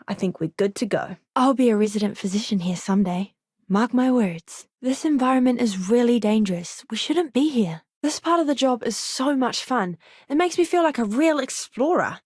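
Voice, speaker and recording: A woman voicing an earnest, eager young ship's doctor in a video game, with a polite, expressive manner. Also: high voice, no room sound, clean studio sound. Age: young adult. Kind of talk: game character voice lines.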